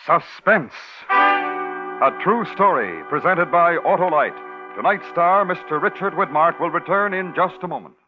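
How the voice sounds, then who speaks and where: A man's voice: fairly high pitch, big pitch swings, unhurried. A man reading scripted show announcements in 1950s radio style, with music behind. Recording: dull lo-fi 1950s radio recording.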